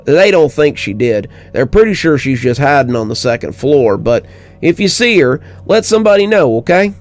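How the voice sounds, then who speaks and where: A friendly male hospital worker as a narrator plays him in an audio story. He speaks informally and matter-of-factly, in a fairly high, animated voice. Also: moderate pace, soft background music. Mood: casual concern.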